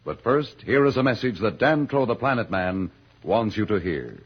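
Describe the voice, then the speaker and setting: A man's voice: on the low side, expressive, normal speed, noisy background. A man announcing a radio serial in a theatrical, dramatic 1950s style, reading scripted narration. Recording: muffled old radio broadcast. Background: loud music.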